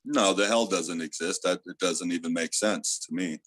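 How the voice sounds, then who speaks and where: Deep-voiced man, loud and earnest. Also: normal speed, no echo. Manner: earnest, explaining with many fillers. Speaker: theta healer guest. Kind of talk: casual spiritual interview talk.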